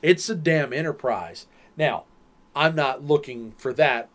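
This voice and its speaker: A man's voice: a little high, a little slow. A man with a Southern US accent, co-hosting a podcast and explaining things at length in casual chat. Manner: rambling, explains at length with restarts. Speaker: podcast co-host.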